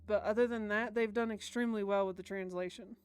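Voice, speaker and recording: A woman's voice: mid-range voice, fairly even intonation, fast, a little mellow, smooth. An American woman streaming a game, chatting casually with stammered, repeated words. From United States. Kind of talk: casual livestream commentary and reading aloud.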